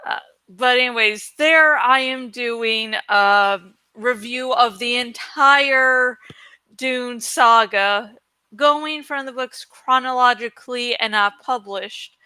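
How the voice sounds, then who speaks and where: A woman's voice: a little high, takes it slowly, pushed and bright, clean tone, no echo. A woman hosting a book reading channel, talking in a casual, rambling chat style.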